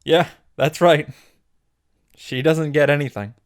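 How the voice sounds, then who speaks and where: A man's voice: a little high, fairly even intonation, slow, no room sound, studio quality. A man narrating a comedic scripted recap as a video host, wry, playful and casually irreverent.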